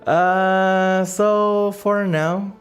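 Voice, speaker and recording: A man hosting a livestream in casual chat, halting and rambling as he searches for words, with as his language. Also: high voice, little pitch movement. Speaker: livestream host. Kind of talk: casual livestream chat, reading donations and comments. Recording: music playing behind the voice. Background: background music.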